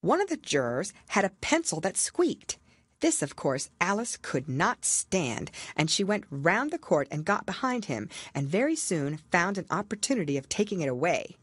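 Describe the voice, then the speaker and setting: A woman reading a children's story aloud as expressive scripted narration. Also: fairly low pitch, normal speed, slightly rough, no echo. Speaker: audiobook reader.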